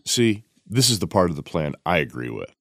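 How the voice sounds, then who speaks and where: A man's voice: low voice, sing-song, brisk, gravelly, fairly bright tone, dry recording, clean studio sound, full character voice. A man playing a decisive, combative fighter in an audio drama, firm and blunt. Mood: resolute. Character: decisive, combative fighter urging direct attack.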